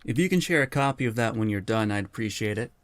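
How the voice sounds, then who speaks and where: A man playing an assured, authoritative FBI field chief in an audio drama, with a brisk, collegial manner. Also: on the low side, animated, a bit bright. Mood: businesslike.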